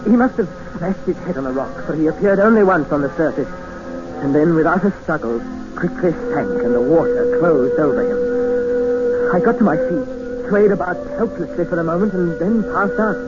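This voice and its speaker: An actor with an English accent narrating and playing a polite, reserved gentleman castaway in a 1950s radio drama. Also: high voice, very animated, normal speed. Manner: polite, understated, reflective narration. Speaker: narrator and lead character. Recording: muffled 1950s radio broadcast with music score.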